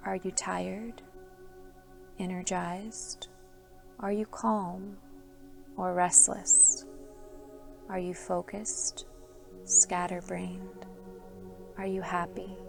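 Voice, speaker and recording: A woman yoga instructor leading a guided sleep meditation, giving soft, soothing instructions with calm pauses. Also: on the low side, creaky. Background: soft background music.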